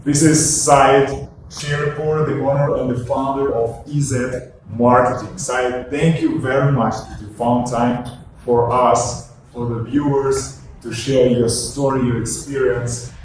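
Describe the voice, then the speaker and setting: A man's voice: medium pitch, little pitch movement, a little slow, background noise. A man hosting a show, delivering a prepared introduction of a guest. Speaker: show host. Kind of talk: scripted introduction to camera. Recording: very echoey, distant, music behind. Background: clear music.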